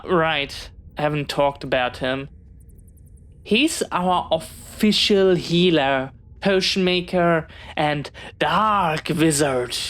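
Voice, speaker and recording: A woman plays a young pirate captain's child in an audio roleplay, with a lowish voice and an unhurried pace. The character is bookish and eager to be friends, chatting in careful, well-spoken phrases with a touch of hesitance. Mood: chatty. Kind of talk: one-sided audio roleplay acting.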